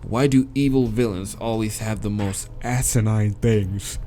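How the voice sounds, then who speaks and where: A man's voice: fairly low pitch, measured pace, mellow, a little hiss or hum. A man reading a visual novel aloud as dramatic narration, with casual, exasperated asides.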